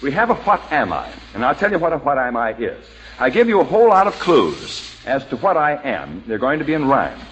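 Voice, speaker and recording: A man's voice: mid-range voice, huge pitch swings, a little fast, fairly bright tone, poor audio. A man hosting a 1940s radio quiz show, jovial and teasing with contestants, on an old broadcast recording.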